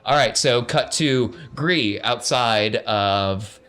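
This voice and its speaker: A man's voice: mid-range voice, slow, a bit bright. A man running a tabletop role-playing game as game master, encouraging and affirming his players. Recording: noticeable background noise.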